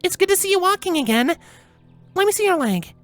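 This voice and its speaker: Female winged unicorn acted by a man, storybook: very high pitch, poised, kind, a touch wry. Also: sing-song, brisk, a bit bright, soft background music, exaggerated voice. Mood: caring.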